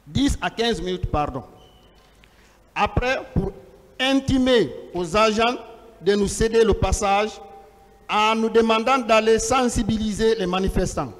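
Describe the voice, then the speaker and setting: Slow, high-pitched man in a reverberant hall. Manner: deliberate, declamatory, pausing between short phrases. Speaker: lawyer questioning a defendant in a court hearing. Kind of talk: reading testimony aloud and questioning in a formal hearing. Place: large courtroom. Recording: reverberant large courtroom, long echo.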